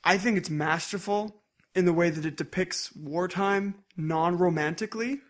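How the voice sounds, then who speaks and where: A man's voice: high-pitched, normal speed. A young Canadian man co-hosting a casual podcast, easygoing and fond of joking asides. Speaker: podcast co-host.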